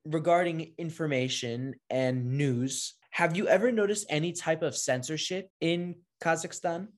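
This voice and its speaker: A man's voice: fairly high pitch, a little slow. Friendly male interviewer asking questions. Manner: friendly, asks long rambling questions. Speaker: interviewer host.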